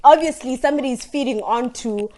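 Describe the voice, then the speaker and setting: A young South African woman hosting a video-call chat with a loud voice, earnest and switching into Zulu. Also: sits high, fast talker. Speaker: host.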